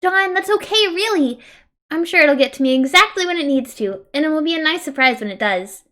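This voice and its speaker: A woman voicing a gentle, upbeat teenage girl who chats online in a sweet, reassuring manner. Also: high voice, lively intonation, a little fast.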